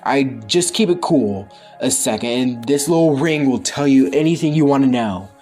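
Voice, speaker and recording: A man's voice: mid-range voice, animated, normal speed, warm tone, faint music behind, lightly acted. A man playing a cool, streetwise comic book superhero with laid-back, slangy talk.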